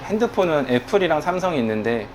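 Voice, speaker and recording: A man's voice: on the high side, big pitch swings, quick pace, a little hiss or hum. A man in his thirties, a guest on a talk show, speaking earnestly and reflectively about his work. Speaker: guest, online community manager. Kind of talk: casual conversation.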